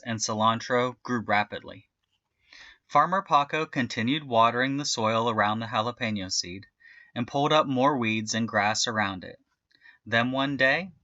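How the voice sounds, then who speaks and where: A man narrates a children's storybook with bright, expressive energy. He leans on and draws out key words. The sound is close and dry, a little muffled. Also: mid-range voice, no room sound. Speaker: storybook reader. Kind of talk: animated children's read-aloud narration.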